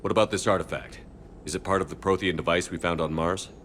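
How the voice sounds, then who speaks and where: Low-voiced, steady male commander. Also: fast talker, a little hiss or hum. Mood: sombre resolve. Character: steady, resolute male military commander, compassionate. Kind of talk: video game voice acting.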